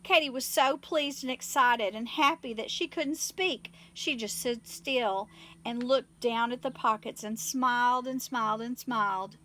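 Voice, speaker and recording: A woman reading a children's story aloud in expressive scripted narration. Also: fairly high pitch, unhurried. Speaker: storybook reader.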